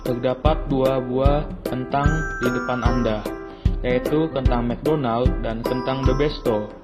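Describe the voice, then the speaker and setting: Polite interviewer over loud music. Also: medium pitch, steady tone, a little slow. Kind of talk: scripted questions to respondents.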